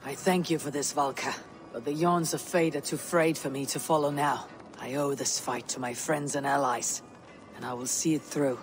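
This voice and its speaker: A woman voicing a stoic, resolute Viking warrior in a game, low and husky, with measured, solemn speech. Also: steady tone, soft background music. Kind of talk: video game cutscene acting.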